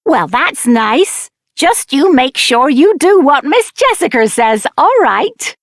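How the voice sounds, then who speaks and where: A woman playing a kindly village woman who gently scolds youngsters in a video game. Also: high-pitched, big pitch swings, slow pace, no room sound, clean studio sound, heavily acted. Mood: fond scolding.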